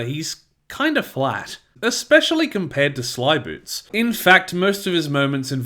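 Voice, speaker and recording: A man's voice: medium pitch, a bit bright. A man hosting a scripted review in a conversational, opinionated way. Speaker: reviewer and host. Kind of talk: scripted audio drama review.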